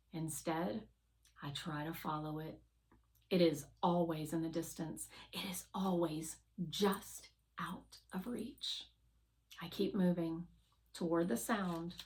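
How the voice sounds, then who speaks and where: A woman narrating a children's novel aloud in an expressive, deliberate read-aloud style. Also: on the low side.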